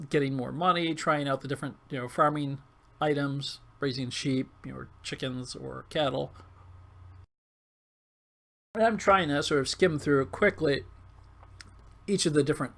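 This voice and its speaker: Man, hoarse and squawky, heavy creak, fairly high pitch, casual gameplay commentary, halting delivery. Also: a bit bright. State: hoarse, losing his voice. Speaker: gaming video host.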